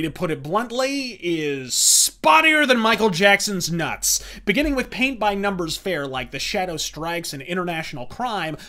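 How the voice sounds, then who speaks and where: A man with a high, forceful voice delivers scripted pop culture commentary as the host. His wording is florid and freely profane, and he punches his phrases hard, in the manner of a rant. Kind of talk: scripted commentary, rant-style countdown review.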